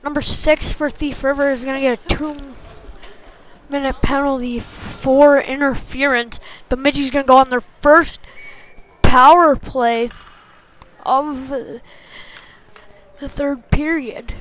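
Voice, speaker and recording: A woman calling a youth hockey game live in short, clipped phrases, getting excitable on big plays. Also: high voice, takes it slowly, long pauses, slight background noise, poor audio. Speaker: play-by-play hockey commentator. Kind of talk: live sports commentary.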